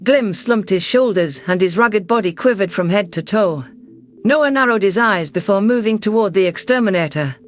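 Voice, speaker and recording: Sci-fi story narrator: man playing a composed, caring alien woman in charge, high and gentle voice, light music bed. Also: expressive, moderate pace. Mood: concerned. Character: caring, composed female alien leader with paws. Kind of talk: first-person sci-fi story narration and dialogue.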